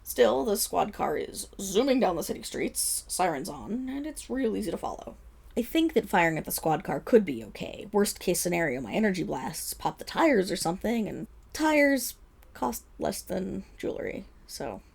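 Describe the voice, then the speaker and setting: Lady acting as a flustered show-off superhero gal, husky n a bit creaky, rambly press conference speech, makes excuses. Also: mid-range voice, very animated, moderate pace, a little mellow, full character voice. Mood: defensive. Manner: rambling, defensive, dramatic storytelling with nervous laughs. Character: flustered, boastful young female superhero making a grudging public apology. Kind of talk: scripted audio roleplay monologue as a press conference speech.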